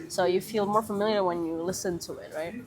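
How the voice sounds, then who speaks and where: A woman's voice: mid-range voice, fairly even intonation, fast, a crowd in the background. A young woman from Indonesia with an American accent, speaking candidly as a podcast guest and using many casual fillers. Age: young adult. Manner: candid, thoughtful, frequent fillers like "you know" and "like". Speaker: guest, Indonesian language teacher and content creator.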